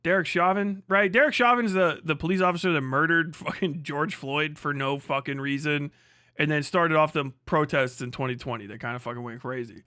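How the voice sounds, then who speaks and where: A man with a high, sharp voice rants casually as a livestream host, swearing freely and hammering points by repeating them. The sound is close and very dry, with a forceful edge to the delivery. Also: brisk, smooth, no room sound. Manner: profane, emphatic, repeats phrases for emphasis. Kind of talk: casual livestream commentary, comedic ranting.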